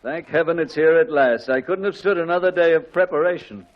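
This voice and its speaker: A man's voice: on the high side, brisk, lo-fi sound, close to an everyday voice. A man playing a sarcastic, weary middle-aged father in a 1940s radio comedy. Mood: exasperated, sarcastic. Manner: dry, weary, exaggerated sarcasm. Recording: old 1940s radio broadcast.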